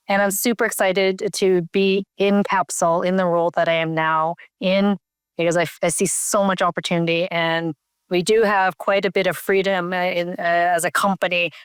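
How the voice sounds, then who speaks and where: A woman's voice: mid-range voice, clear, resonant tone, dry recording. A woman from Canada, a company CEO, answering interview questions over a remote call, thoughtful with many fillers and restarts.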